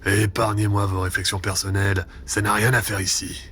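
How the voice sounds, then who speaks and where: Man acting a stern, low-voiced intelligence chief. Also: level tone, fairly quick, a bit bright, a little hiss or hum, natural voice. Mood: stern, reproachful. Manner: formal, curt, reproachful. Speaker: actor in an audio drama. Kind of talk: French spy audio drama acting.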